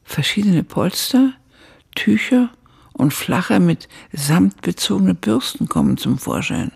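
An actress playing an elderly woman who looks back on her youth in a radio play, husky and gravelly, with a measured, reflective delivery. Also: on the low side, lively intonation, darkish tone, full character voice. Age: older. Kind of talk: scripted radio drama narration.

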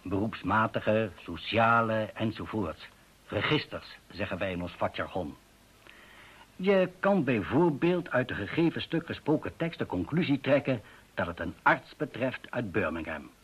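Low, husky-voiced learned professor. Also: male voice, lively intonation, a little slow, fairly bright tone, heavily acted. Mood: matter-of-fact. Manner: precise, lecturing. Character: measured, learned male professor of phonetics. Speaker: actor. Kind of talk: radio drama acting. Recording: muffled old radio drama recording.

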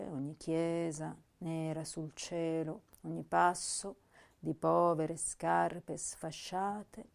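A woman playing a young, intense, melancholy female poet, reciting literary verse and prose in a measured, solemn way with pauses between phrases. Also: fairly low pitch, a little mellow. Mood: somber, aching. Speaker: actress reciting poetry and prose.

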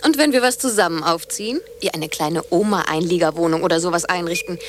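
A woman's voice: lowish voice, brisk, noisy background, natural voice. An actress playing a naive, conciliatory young female scientist in a German radio play, guileless and breezy. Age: young adult.

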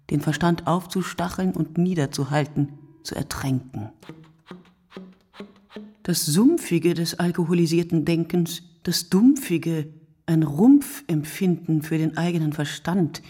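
Radio play narrator, husky and lowish, musing in deliberate poetic fragments, very dry sound. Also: female voice, warm tone, dry recording, full character voice. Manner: deliberate, fragmented phrasing, dwelling on words. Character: reflective woman narrator musing poetically on night-time drinkers. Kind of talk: literary radio play monologue.

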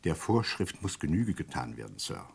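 An actor playing a courteous but probing chief inspector in a German crime radio play, formal and insistently polite. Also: male voice, very deep voice, sing-song, moderate pace, gravelly, breathy edge, full character voice.

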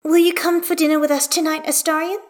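A woman voicing an excitable young girl, bossy and playful, in a storybook narration. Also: very high voice, fast talker, pitched above the performer's own voice. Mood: shy, eager. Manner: eager, dramatic declarations and pleas.

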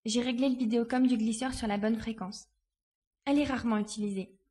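A woman playing a spirited, resourceful young girl in a dramatised audiobook, lively and assured in her delivery. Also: fairly high pitch, a little fast, close to an everyday voice. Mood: proud, explanatory. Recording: faint background noise.

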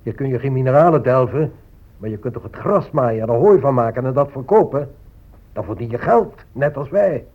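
An actor playing a greedy, impatient settler negotiator with a husky voice and an insistent manner in a radio drama. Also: male voice, medium pitch, animated, brisk, natural voice. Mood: insistent, persuasive. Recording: muffled, narrow-band old radio recording.

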